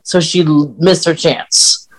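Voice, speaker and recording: A man co-hosting a podcast, explaining fan lore casually in a halting way with pauses mid-sentence. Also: a little high, little pitch movement, poor audio. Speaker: podcast co-host.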